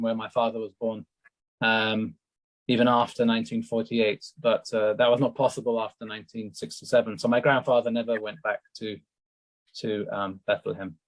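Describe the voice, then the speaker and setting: A man's voice: on the low side, level tone, moderate pace, dry recording, poor audio. A man on a panel speaking carefully and precisely, with some restarts. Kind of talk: panel discussion.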